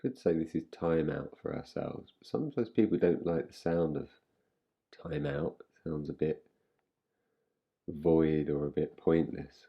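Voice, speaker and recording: A man guiding a relaxation meditation in an unhurried, soothing way, giving gentle instructions with long pauses. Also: extremely low pitch, slightly rough, lo-fi sound. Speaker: meditation guide. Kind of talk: guided relaxation meditation, yoga nidra.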